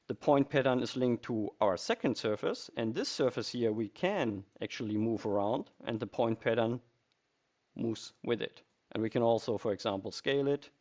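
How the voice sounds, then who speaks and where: A man narrating a software tutorial step by step in a methodical, explanatory way. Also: mid-range voice.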